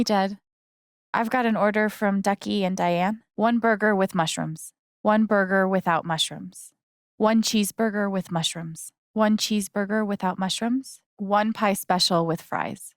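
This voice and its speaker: Actress doing a young game heroine, casual n halting, kinda awkward and sarcastic, slow pace, super dry close recording. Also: female voice, mid-range voice, no echo, lightly acted. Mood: friendly, easygoing. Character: young adult woman, warm, awkward, sarcastic, emotional. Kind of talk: naturalistic video game acting.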